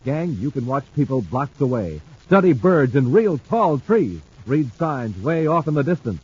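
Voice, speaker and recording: Booming 1950s radio announcer. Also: male voice, very animated, normal speed, a little mellow, husky. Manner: booming, showman-like, exclamatory. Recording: muffled old radio transcription with faint hiss.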